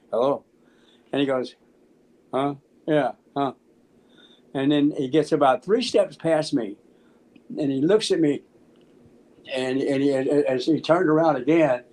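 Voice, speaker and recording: An American man in his seventies casually telling anecdotes in an animated, halting way, acting out the dialogue. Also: mid-range voice, low-quality recording. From Memphis, United States. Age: 70s. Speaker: interview guest, musician. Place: small room at home, on a video call.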